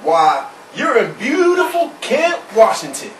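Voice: mid-range voice, wildly swooping pitch, unhurried, recorded from a distance, slight background noise. A performer voicing a folksy sheep shopkeeper with a rural drawl in an amateur home puppet show. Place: indoor room with a puppet stage. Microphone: far camera. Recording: roomy home video from afar.

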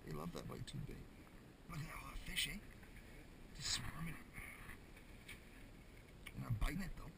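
A man's voice: medium pitch, huge pitch swings, normal speed, gravelly, long pauses. A Canadian man chatting casually while fishing, with folksy mild exclamations and frequent "eh" tags. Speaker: ice fisherman filming a fishing vlog. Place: small ice fishing tent on a frozen lake. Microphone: body-worn or propped action camera. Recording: noisy action camera inside a tent.

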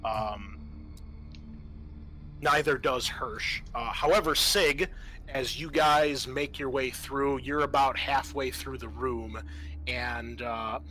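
A man with a bright, forceful voice runs a tabletop roleplaying session. He explains and narrates casually as game master, with some swearing. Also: mid-range voice, slow pace, rough recording.

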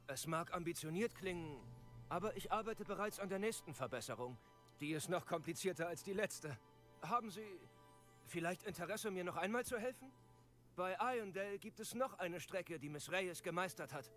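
An actor playing an eager, earnest broom shopkeeper and inventor in a video game, polite and keen to sell. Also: male voice, high-pitched, expressive, moderate pace, fairly bright tone. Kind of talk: acted video game dialogue. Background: background music.

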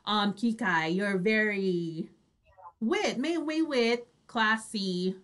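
Bright-voiced woman, casual co-host. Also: medium pitch, slow. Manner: chatty, switches between English and Tagalog. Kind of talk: casual chat. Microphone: video call.

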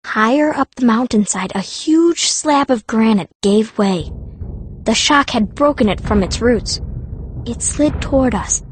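High-pitched woman narrating a fantasy audiobook. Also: expressive, measured pace, husky, dry recording. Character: fierce young girl stone mage telling her story. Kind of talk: first-person fantasy story narration.

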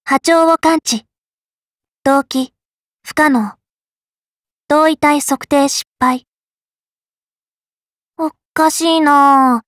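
A woman's voice: high voice, steady tone, very slow, long pauses, a bit bright, no room sound, studio quality, heavily acted. A woman playing a friendly, curious young valkyrie in an anime game, girlish and informal. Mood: puzzled. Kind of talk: anime mobile game voice acting.

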